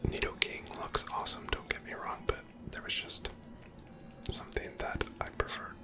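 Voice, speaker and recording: A person whispering gentle, chatty ASMR commentary over a video game, breathy and close to the microphone. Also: moderate pace. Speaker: gameplay host.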